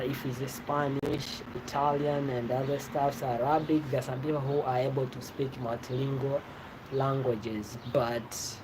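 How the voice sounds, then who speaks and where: A travel vlogger from Tanzania, a native Swahili speaker with a Tanzanian accent, explaining informally and often rephrasing in a halting way. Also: medium pitch. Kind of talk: informal explanatory vlog talk. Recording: clear background ambient noise.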